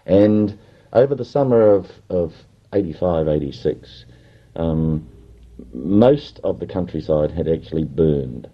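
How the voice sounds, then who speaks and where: A man telling a historical story in an old interview, slowly and haltingly, correcting himself as he goes. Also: low-pitched, expressive, husky, highs cut off. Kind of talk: archive interview recounting history.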